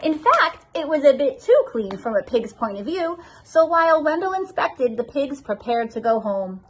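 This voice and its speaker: High, animated woman reading a storybook. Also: normal speed. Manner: expressive, addressing children directly. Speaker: school assistant principal reading to pupils. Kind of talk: children's storybook read-aloud.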